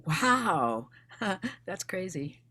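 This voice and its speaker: A woman's voice: fairly low pitch, very animated, extremely slow, sharp tone, exaggerated voice. A woman acting as a determined, blunt female investigator in a screenplay table read. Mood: feigned surprise. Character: defiant, determined recently widowed female investigator, former accountant.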